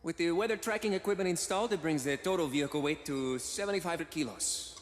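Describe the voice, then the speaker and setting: An actor playing an earnest, eccentric, nerdy mechanic in a video game cutscene, precise, literal-minded and hesitant. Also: male voice, on the high side, fast, long reverb tail, soft background music, close to an everyday voice.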